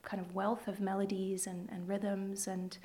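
A woman's voice: mid-range voice, little pitch movement, a little slow, dark tone. A woman in her thirties with a Canadian accent, chatting thoughtfully and a little hesitantly as a musician guest in a radio interview.

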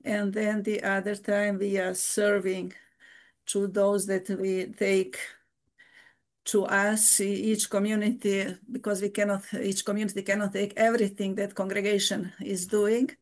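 Woman, older, nun, halting, many restarts, frequent laughs. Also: medium pitch, level tone. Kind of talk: casual interview.

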